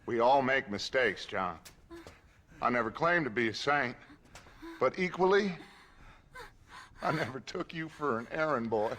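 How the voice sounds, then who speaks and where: A man's voice: medium pitch, animated, fairly bright tone, a little hiss or hum. A man playing a theatrical, mocking outlaw gang leader in a Western video game cutscene. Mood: weary mockery. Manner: slow, mocking, grandiose.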